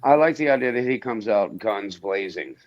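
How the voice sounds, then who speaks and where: A man with a very deep voice chats as a podcast guest, halting often and searching for words. His sound is dull and lo-fi, as if coming in over a remote line. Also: animated. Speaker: guest musician and voice actor. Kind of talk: casual podcast chat.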